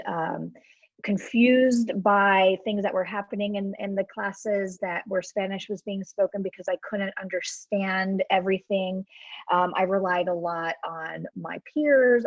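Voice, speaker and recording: A woman's voice: mid-range voice, no echo. A woman from Ohio giving a webinar talk as an education professor, chatty and self-deprecating, with frequent fillers and laughs. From Ohio, USA. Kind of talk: live webinar presentation. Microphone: computer mic on a video call. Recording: dull, slightly lo-fi video-call audio.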